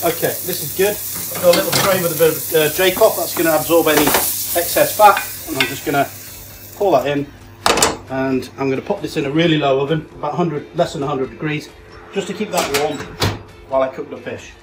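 Male chef, middle-aged, slightly high voice, casual practical cookery walkthrough, very noisy frying behind him. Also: brisk. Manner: plain-spoken step-by-step instruction while busy cooking. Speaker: chef demonstrating a recipe. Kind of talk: casual instructional cooking narration. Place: small home kitchen, indoors. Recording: loud sizzling pan and kitchen noise. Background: frying, sizzling, stirring.

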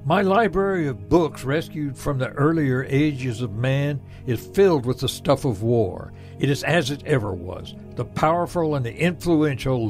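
A man's voice: mid-range voice, lightly acted. A man playing a grumbling, bookish backwoods preacher in an audio drama, with pompous, folksy oratory. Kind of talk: audio drama panel debate.

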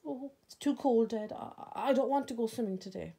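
A woman's voice: fairly high pitch, moderate pace, gravelly, mellow, slightly rough, pitched above the performer's own voice. An Irish woman voicing an anxious, eager little boy in a storybook, in a plaintive, childlike manner. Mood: reluctant, plaintive.

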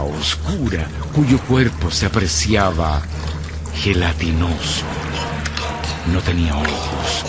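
Deep, ominous male narrator. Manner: grave, dramatic, ominous. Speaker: radio drama narrator. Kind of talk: scripted horror story narration. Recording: loud music under the voice.

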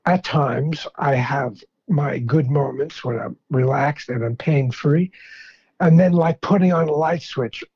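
A man in his sixties talks casually in a thick New York accent. His voice is gruff and husky, set a little high for a man. It comes through a dull, lo-fi phone line. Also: a little slow, a little mellow. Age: 60s. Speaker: podcast guest, rock fan. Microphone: phone call.

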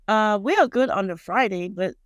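Female podcast guest, forties, Malaysian Chinese accent, native Chinese speaker. Conversational, halting delivery, close and dry studio mic. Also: mid-range voice, lively intonation, no room sound. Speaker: guest chef and culinary instructor. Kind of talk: casual podcast interview. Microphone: close studio microphone on boom arm.

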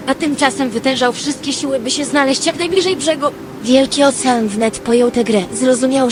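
A woman playing a wistful dreamer, narrating in an expressive literary storytelling manner for an audio drama. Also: a little high, close to an everyday voice. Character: Lady Number Two, wistful female dreamer telling her dream.

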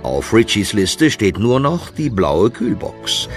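Male narrator for children's audio play: very low, highly animated, over music. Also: takes it slowly. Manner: storyteller delivery, dramatic phrasing.

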